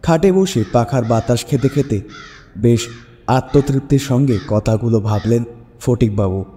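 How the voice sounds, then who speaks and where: A male story narrator with a very dark, mellow tone at a medium pitch. He reads scripted dramatic prose as the host. His voice carries a long reverb over a music bed.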